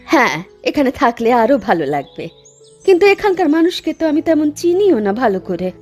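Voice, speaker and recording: A woman's voice: sits high, big pitch swings, a little fast, a little mellow, faint music behind. A woman playing a polite, anxious widowed mother from the city in a cartoon, speaking earnestly. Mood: gentle, uncertain. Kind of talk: Bengali horror cartoon dubbing.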